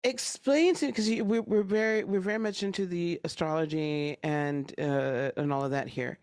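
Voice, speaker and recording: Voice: mid-range voice, moderate pace, a little mellow, dry recording, studio quality. A podcast host in a casual interview, agreeing readily and asking eager follow-up questions.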